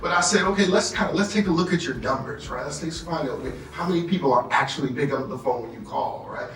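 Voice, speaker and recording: A man's voice: fairly high pitch, fast talker, fairly bright tone, slight background noise. An American man leading an interactive sales training as a motivational trainer, often checking in with his listeners. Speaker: workshop trainer. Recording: roomy echo, distant mic, faint music.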